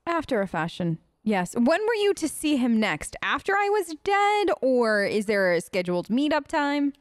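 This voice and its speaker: A woman with a high voice provides casual let's play commentary. Her intonation swings freely, and she is playful and chatty, slipping into role-play with a mock-whiny touch. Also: very animated, moderate pace, no echo, clean studio sound. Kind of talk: casual playful gameplay commentary, speaking in character.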